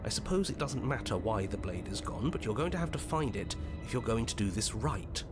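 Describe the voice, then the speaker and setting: A man playing a weary, exasperated, stern unseen narrator in a game, speaking dryly and deliberately. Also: lowish voice, animated, music in the background, natural voice. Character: weary, exasperated, imperious unseen storyteller spirit.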